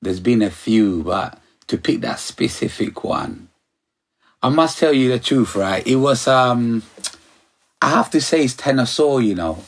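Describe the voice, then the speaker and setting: A man's voice: medium pitch, expressive, a little slow. A middle-aged man from Ghana, a guest talking in a loose, rambling way, often saying "you know". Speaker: sound system operator, guest. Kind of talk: relaxed interview chat.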